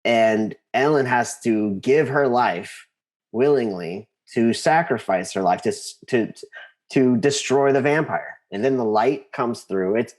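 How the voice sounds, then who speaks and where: A man being interviewed, telling his story conversationally and haltingly, repeating words as he thinks. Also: mid-range voice, a little mellow. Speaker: interview guest, composer.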